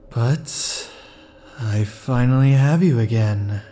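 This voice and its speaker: Casting: a man playing a clingy, possessive immortal male lover; hushed, sighing, unhurried delivery, dark mellow tone. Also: mid-range voice. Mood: tender possessive. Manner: soft, sighing, lingering murmur. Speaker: ASMR roleplay performer. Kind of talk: ASMR roleplay.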